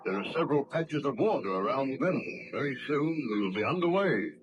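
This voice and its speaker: Guy playing firm curious sea captain, medium pitch, formal old radio acting, bit of noise. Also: sing-song, lightly acted. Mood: calm, inquiring. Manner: measured, formal. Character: firm, inquisitive male ship's captain. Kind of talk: 1940s radio drama acting. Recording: noisy old radio drama recording.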